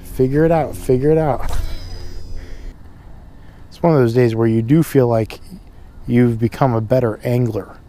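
Guy in his thirties narrating his fishing on a cold windy shore; chatty, mid-pitched, light wind noise. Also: unhurried, pauses often, warm tone. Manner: chatty, talks to camera while fishing. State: cold, runny nose. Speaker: fishing vlogger. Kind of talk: casual outdoor narration. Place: windy pond shore outdoors. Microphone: action camera on chest harness.

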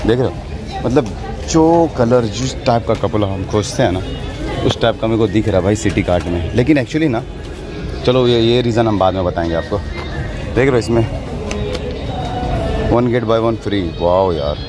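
A young man vlogging at a mall and on the street, talking straight to his viewers in an excitable, chatty way, phone held at arm's length. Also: on the low side, normal speed, long pauses, music in the background. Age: young adult. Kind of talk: casual vlog chat to camera. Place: shopping mall, then streets and a bus.

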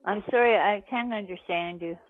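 A woman's voice: medium pitch, moderate pace, dry recording. An older woman talking on the telephone in a plain-spoken, proper, questioning way. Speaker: prank call recipient. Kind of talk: unscripted phone conversation. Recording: narrow tinny phone line.

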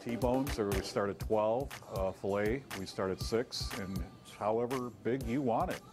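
A man's voice: lowish voice, a little slow, music in the background. An older man explaining his restaurant's steaks in a casual interview, matter-of-fact with a few fillers, recorded inside the restaurant. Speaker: restaurant owner.

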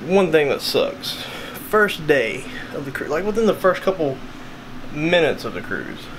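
A man's voice: fairly high pitch, very animated, measured pace, background noise. Rambling young man vlogging from a cabin. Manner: rambling, self-deprecating, filler-heavy. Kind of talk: casual vlog chat. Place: small cruise cabin. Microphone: camera held at arm's length.

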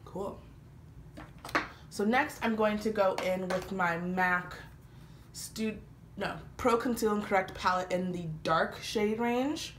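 A young woman talking casually through a makeup routine, slightly congested from a cold, chatty and relaxed with frequent fillers. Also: mid-range voice, takes it slowly. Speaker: beauty vlogger. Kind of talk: casual makeup tutorial talk. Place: small indoor room, patterned wall with lights. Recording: roomy echo, faint background hiss.

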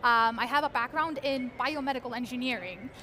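Young Icelandic woman guest, high bright voice, eager and articulate, lapel mic at a convention booth. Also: brisk, slight background noise. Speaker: guest, research engineer. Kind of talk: conversational interview. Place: large convention hall booth, indoors.

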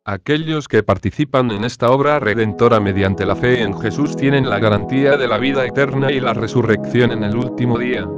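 A man giving a scripted devotional reflection on a gospel passage in a steady, even reading voice. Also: medium pitch, brisk, hardly any pauses, lo-fi sound, music in the background.

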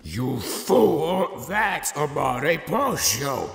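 A man voicing a stern, commanding goat villain with a harsh, booming, gravelly voice in a dramatized story reading. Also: very animated, very slow, fairly bright tone, exaggerated voice. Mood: furious. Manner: harsh, threatening, theatrical. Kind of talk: dramatized fan fiction reading. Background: background music.

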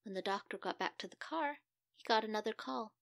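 A woman's voice: medium pitch, fairly quick, creaky, dry recording. Woman reading a children's story, close and dry. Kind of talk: scripted children's story narration.

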